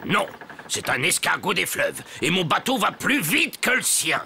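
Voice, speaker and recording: A man's voice: high voice, big pitch swings, a little slow, vocal fry, sharp tone, slight background noise, full character voice. A man voicing a stubborn, competitive old grandfather dog boatman in a French-dubbed cartoon, boastful and combative. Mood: defiant. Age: older character.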